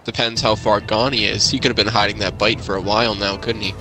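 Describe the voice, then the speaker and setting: A man plays a guarded leader of a zombie-apocalypse settlement in a game roleplay. His voice sits fairly low and he speaks firmly, with music playing clearly behind him. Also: little pitch movement, fast, lightly acted. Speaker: player in a roleplay skit. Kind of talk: improvised game roleplay dialogue.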